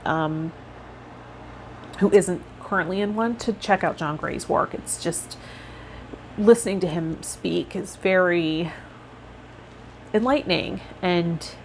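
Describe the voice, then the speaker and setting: A woman vlogging casually and reflectively to her viewers, rambling a little and pausing mid-thought, with some guided meditation cues. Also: lowish voice, slight background noise, poor audio. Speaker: host of a tarot vlog and healer.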